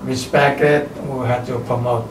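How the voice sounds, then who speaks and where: A man in his seventies speaks with a Taiwanese accent and a medium pitch. His English is halting and emphatic, with short phrases repeated for weight. The recording is roomy and distant, with faint noise underneath. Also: level tone. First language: Chinese. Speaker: kung fu teacher being interviewed. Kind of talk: opinionated interview talk.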